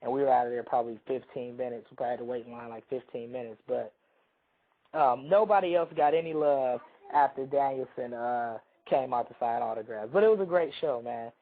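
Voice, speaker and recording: A man co-hosting a radio talk show, telling a long, rambling story while hoarse from a cold. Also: medium pitch, fairly quick, narrow, tinny audio. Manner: rambling, self-interrupting storyteller. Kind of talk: casual chat, storytelling.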